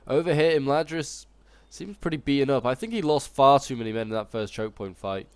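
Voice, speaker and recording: A man's voice: mid-range voice, lively intonation, brisk, clean tone, no echo. A man with a cold, sounding snuffly, casually commentating a battle replay with wry asides and frequent ums. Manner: chatty and wry, with frequent ums and self-corrections. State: stuffy with a cold. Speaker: game channel host commenting a battle replay.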